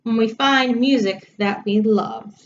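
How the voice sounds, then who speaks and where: A woman voicing a shy, gentle, clumsy male giraffe in a children's storybook, speaking earnestly. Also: a little high, measured pace, lo-fi sound, a little hiss or hum, voice pushed down, lightly acted. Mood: content.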